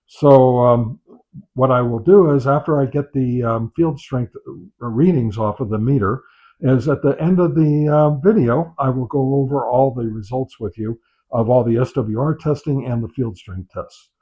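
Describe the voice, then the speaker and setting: A man gives unscripted product test commentary as a review host, pausing often with filler sounds and repeating set phrases. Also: medium pitch. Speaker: host of a radio gear review channel. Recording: dull, muffled lo-fi sound.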